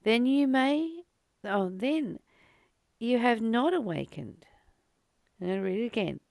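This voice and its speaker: Woman with creaky voice, earnest spiritual teacher. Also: on the high side, lively intonation, takes it slowly, darkish tone, no echo, clean studio sound. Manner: earnest, exhorting, with repeated phrases for emphasis. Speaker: spiritual teacher reading and commenting on a text. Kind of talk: spiritual reading with personal, heartfelt commentary.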